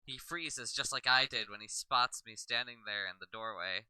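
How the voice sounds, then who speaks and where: A man's voice: mid-range voice, moderate pace, bright, forceful tone, smooth, no echo, low-quality recording. A man reading first-person narration aloud from a visual novel, sometimes stumbling and rereading a line.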